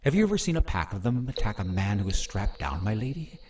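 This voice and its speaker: A man playing a cruel, scheming prince in a fantasy radio play, with a mocking, theatrically menacing and drawn-out delivery. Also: fairly low pitch, very animated, a little mellow, recorded from a distance, slight background noise. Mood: sadistic relish. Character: cruel scheming prince, gloating usurper brother.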